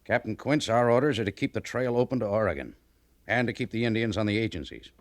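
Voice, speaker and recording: An American actor playing a rigid, distrustful, by-the-book cavalry major in a western radio drama, with clipped military authority. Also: male voice, lowish voice, fast, no echo, close to an everyday voice. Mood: stern, commanding.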